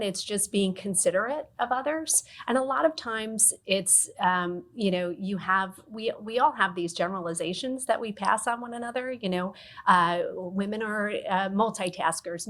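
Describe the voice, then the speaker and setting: A woman speaking on a business panel as a leader who talks about mentoring, thoughtful and measured with frequent restarts. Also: medium pitch, a bit bright. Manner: thoughtful, restarts often, coaching tone. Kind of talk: panel discussion.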